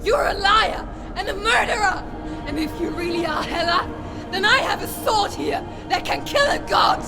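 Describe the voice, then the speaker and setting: Tormented young warrior woman for a game: very high voice, halting, intense, grieving yet defiant, over loud music. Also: expressive, over a noisy crowd. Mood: defiant, anguished. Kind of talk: dramatic video game acting.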